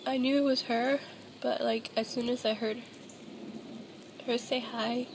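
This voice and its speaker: A woman's voice: fairly high pitch, fairly even intonation, measured pace, noisy background. A young adult woman talking casually and hesitantly in a personal confessional vlog, recorded at home on a built-in device mic.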